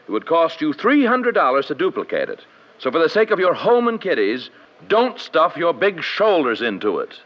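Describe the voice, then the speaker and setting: A man playing a highly strung, snobbish wealthy client in an old radio detective drama, pompous, exasperated and melodramatic. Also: wildly swooping pitch, moderate pace, slight background noise. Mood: exasperated, haughty. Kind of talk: 1940s-50s radio comedy-mystery acting. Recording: muffled vintage radio broadcast.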